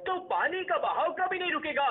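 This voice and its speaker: An eager, science-minded teenage son from a dubbed cartoon, as a voice actor plays him, who explains facts and keeps proposing plans. His voice is high. The sound is thin and phone-like, with clear music underneath. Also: very animated, brisk. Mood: explaining. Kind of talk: cartoon dubbing.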